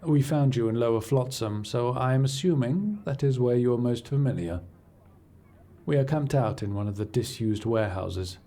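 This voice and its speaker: A man playing a cool, imperious scholar-agent in a fantasy audio roleplay, speaking in a formal, measured, dryly condescending way. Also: medium pitch, warm tone, close to an everyday voice. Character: cool, imperious male scholar-agent serving an arcane archivist patron. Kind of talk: scripted audio roleplay acting, addressing the listener.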